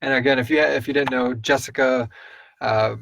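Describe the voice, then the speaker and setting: A man's voice: mid-range voice, steady tone, normal speed, gravelly, fairly bright tone, a little hiss or hum. A man co-hosting a casual live question and answer chat, rambling loosely and searching for his words. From Houston, Texas area. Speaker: co-host and audio drama creator answering listener questions.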